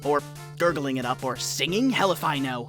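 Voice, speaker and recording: A woman's voice: low-pitched, expressive, normal speed, music in the background. A woman voicing an irritable, foul-mouthed young male troll in a comic fan dub, abrasive and exasperated. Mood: exasperated ranting.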